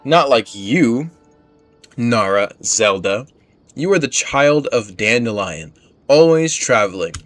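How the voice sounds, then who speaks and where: A man voicing a small, gentle forest spirit from a game, earnest and solemn, in simple broken phrasing. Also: mid-range voice, lively intonation, slow, soft background music.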